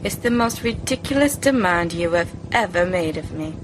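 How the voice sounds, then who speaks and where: A woman's voice: fairly low pitch, expressive, normal speed, natural voice. Woman as a wary, exasperated teenage schoolboy. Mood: resigned. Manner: sceptical, resigned. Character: wary, exasperated sixteen-year-old schoolboy.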